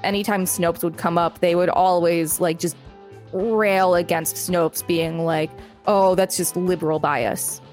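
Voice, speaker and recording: A woman with a fairly low voice co-hosts a podcast. She alternates between reading articles and casual chat. Soft music sits behind her. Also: fairly even intonation, unhurried. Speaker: podcast co-host.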